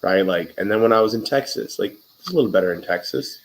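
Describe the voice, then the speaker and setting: A man's voice: lowish voice, fast talker, slight background noise. A man hosting an interview, chatty and joking, telling loose profane stories in a casual conversation. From Wisconsin. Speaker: interviewer, podcast host.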